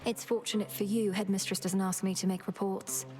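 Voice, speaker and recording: A woman's voice: medium pitch, level tone, brisk, lightly acted. A woman playing a composed young witch heroine in a video game, formal and dry in manner. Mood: polite, dry. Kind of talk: game character acting. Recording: loud music behind.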